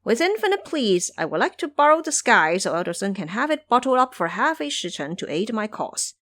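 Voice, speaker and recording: Woman voicing a boastful, animated monkey trickster. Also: fairly high pitch, fairly quick, voice pushed up. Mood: commanding. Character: boastful, crafty male monkey trickster posing as master.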